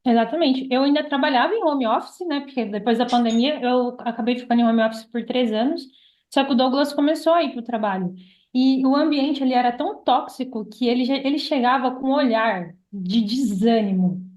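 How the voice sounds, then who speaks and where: A Brazilian woman around thirty with a fairly high voice, recorded in a roomy, echoing space. She tells her own story conversationally, as a podcast guest. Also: a little fast, darkish tone. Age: about 30. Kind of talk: casual personal storytelling.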